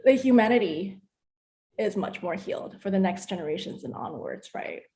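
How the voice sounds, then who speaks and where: A woman's voice: medium pitch, animated, moderate pace, warm tone. Effusive woman in spiritual conversation. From Taiwan. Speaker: host of a spiritual conversation series.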